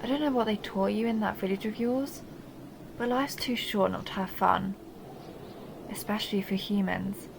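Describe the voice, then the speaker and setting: A woman's voice: medium pitch, normal speed, vocal fry, noisy background, lightly acted. A woman playing a seductive, possessive vampire countess who teases and condescends in an intimate audio roleplay monologue. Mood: seductive, taunting. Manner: teasing, mocking, intimate purring address.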